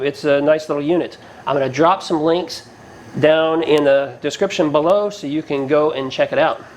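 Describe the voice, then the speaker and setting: Guy with a slightly high voice doing a casual gear review, plainspoken, bit of background noise in a garage. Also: low-quality recording. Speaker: product reviewer, channel host. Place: inside a cinder-block garage.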